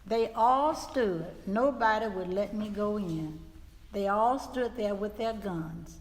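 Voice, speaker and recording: A woman playing a grieving 19th-century widow, recounting events solemnly in a dramatic reading. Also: medium pitch. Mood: somber, measured. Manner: slow, deliberate, solemn storytelling. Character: grieving widow recounting her husband's murder, 1875 Mississippi. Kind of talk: dramatic reading of historical testimony. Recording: reverberant hall.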